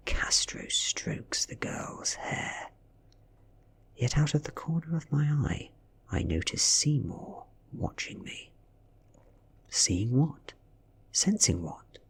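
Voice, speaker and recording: A British man reads fiction in a soft ASMR style, breathy and half-whispered. His delivery is slow and hushed, with dramatic rises and falls in pitch as he tells the story. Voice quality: breathy, often dropping into a whisper. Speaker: audiobook-style reader.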